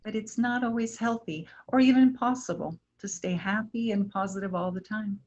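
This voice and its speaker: A woman in a staged play reading as a wise, gentle river spirit, giving measured, earnest guidance. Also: mid-range voice, close to an everyday voice. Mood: tender, encouraging. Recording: dull lo-fi recording.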